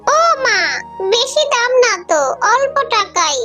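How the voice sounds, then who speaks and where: Little fox girl for a kids' cartoon: whiny, eager, tiny high bright voice. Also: expressive, a little slow, soft background music, exaggerated voice. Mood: begging. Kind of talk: children's cartoon voice acting.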